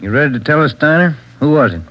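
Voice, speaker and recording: A man's voice: a little high, expressive, quick pace, a little hiss or hum, natural voice. An actor playing a plain-spoken male police detective questioning a suspect. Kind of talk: 1940s radio acting. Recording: muffled old radio broadcast.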